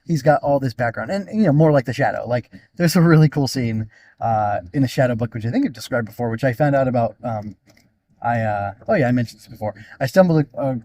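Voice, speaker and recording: A man's voice: mid-range voice, expressive, brisk, mellow, no room sound, clean studio sound. A man podcast host giving a casual, unscripted solo review, thinking aloud and often interrupting himself as he rambles.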